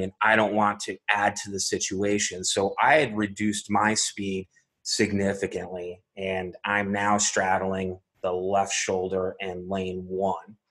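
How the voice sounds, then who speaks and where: Man, 40s, from Arizona, lowish voice; calm, methodical guest telling his story. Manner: measured, methodical, step-by-step telling. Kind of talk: recounting a personal story.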